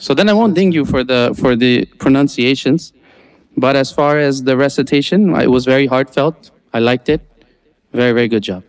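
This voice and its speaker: An older man judging a talent show, giving calm, measured feedback from a theatre desk. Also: medium pitch, clean tone. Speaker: judge.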